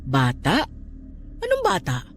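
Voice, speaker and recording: A woman's voice: sits low, wildly swooping pitch, slow pace, faint music behind, full character voice. A woman playing a stern, devout, emphatic aunt in a Tagalog radio drama. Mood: gentle, grave. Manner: emphatic, emotional, scolding and pleading. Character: stern, devout aunt scolding then comforting her nephew.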